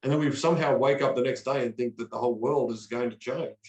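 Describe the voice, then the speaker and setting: An earnest man from Australia talks like a motivational coach, often doubling words as he gets going. His voice sits at an ordinary pitch. The room around him is echoey. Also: fast, a little mellow. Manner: earnest, motivational, repeats words when starting phrases. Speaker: podcast guest, coach. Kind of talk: conversational interview.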